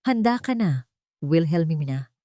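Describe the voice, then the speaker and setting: A woman's voice: sits low, animated, a little slow, dark tone, no echo, clean studio sound. A woman voicing a stern, exacting male colonel and flight mentor in an audiobook drama, speaking firmly and emphatically. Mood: solemn, proud. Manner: firm, emphatic.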